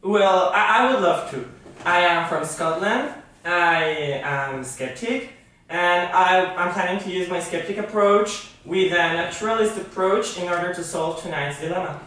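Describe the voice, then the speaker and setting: A man's voice: high voice, a little slow, fairly bright tone. A man playing a cocky skeptic philosopher as a guest in a talk-show skit. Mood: boastful. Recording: echoey room, distant mic, background music.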